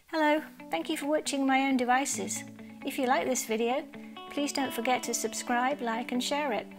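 A woman with a fairly high voice reads a casual channel intro. Faint guitar music plays behind her. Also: fairly even intonation, normal speed. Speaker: guest reading a channel intro.

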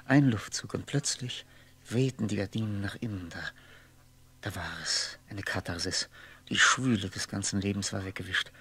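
A man's voice: fairly low pitch, normal speed, pushed and bright, husky, full character voice. An actor playing a lonely, brooding man who muses and repeats himself absently in a 1960s radio play. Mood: reflective, lyrical. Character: lonely brooding man lost in his memories. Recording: faintly humming, noisy 1960s radio recording.

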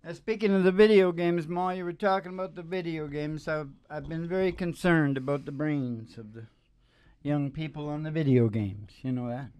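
A middle-aged man hosting with rambling, improvised storytelling in a folksy, halting way, speaking into a close stand microphone. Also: on the high side, steady tone, a little mellow, clear, resonant tone, no echo. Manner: folksy, halting, with trailing sentences. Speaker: podcast host and singing DJ. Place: small indoor stage with curtain backdrop.

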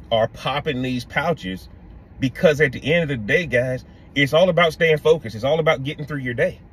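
A middle-aged man hosting a casual opinion vlog from inside a car, talking to the camera in an animated way and calling his viewers "guys". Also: mid-range voice, a little hiss or hum. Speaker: podcast host. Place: parked car interior.

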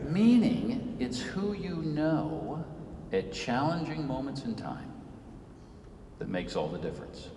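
A man in his fifties gives an earnest lecture from a podium, his even-pitched voice carrying through a long church reverb. The mic is some distance away and a faint hiss sits under him. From Senegal. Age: 50s. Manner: measured, earnest, rises and falls for emphasis. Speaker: guest speaker, author. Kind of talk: public lecture. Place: large church sanctuary. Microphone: podium mic, recorded from far off.

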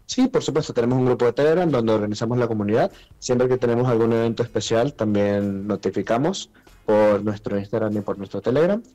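A man's voice: medium pitch, brisk, mellow, soft background music. A man giving polite, inviting interview answers as a guest event organiser on a telephone call.

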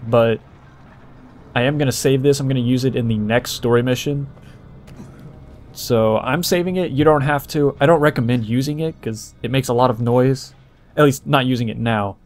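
A man's voice: mid-range voice, normal speed, slight background noise. A man doing casual, explanatory gameplay walkthrough commentary as the host, talking informally to viewers.

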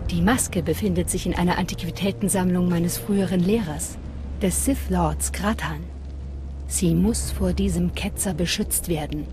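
An actress voicing a fanatical assassin and devout cult guardian in a video game, reverent and measured. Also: female voice, fairly low pitch, noisy background, close to an everyday voice. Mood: fervent. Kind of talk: dramatic video game dialogue. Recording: prominent music behind voice.

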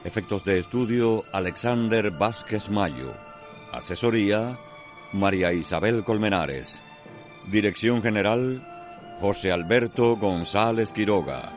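Casting: deep Cuban man, theatrical scripted narrator for radio serial, music under the voice. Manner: measured, literary, dramatic. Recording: music behind the voice.

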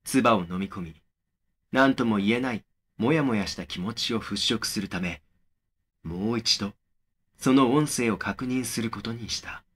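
A man playing a young man who narrates his eerie experience in a horror audio drama, measured and serious. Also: on the low side, a bit bright. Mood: serious, suspenseful. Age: young adult. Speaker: first-person narrator of a horror story.

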